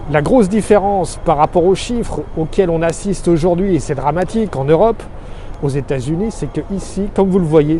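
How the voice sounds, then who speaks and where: A middle-aged man from Alsace, France, giving an earnest, measured street-side monologue full of figures, recorded outdoors on a city street. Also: a little high, mellow. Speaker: expatriate vlogger. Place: outdoors on a city street below his office. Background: faint street and traffic noise.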